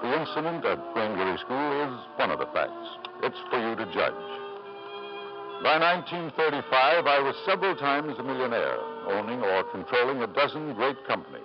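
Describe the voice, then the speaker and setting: Man playing smooth, ruthless tycoon in old radio drama. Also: medium pitch, expressive, music in the background, lightly acted. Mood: self-assured. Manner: measured, polite, coolly self-assured. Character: ruthless, smooth self-made millionaire businessman and doting father. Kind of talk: 1950s radio drama acting, narrating and dialogue. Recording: muffled, noisy 1950s radio broadcast.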